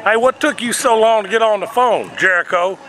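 A man's voice: sits high, fairly quick, natural voice. A man in his thirties putting on a brash, bossy, rambling prank caller who badgers and throws absurd insults. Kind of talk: improvised prank phone call. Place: outdoors on a city sidewalk. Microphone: handheld camera up close. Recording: noisy outdoor street with traffic.

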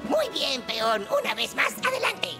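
Cartoon casting: brisk scholarly creature teacher, high, swooping delivery, instructive, music behind. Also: female voice, exaggerated voice. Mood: encouraging. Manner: instructive, animated. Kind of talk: animated cartoon dubbing, explaining.

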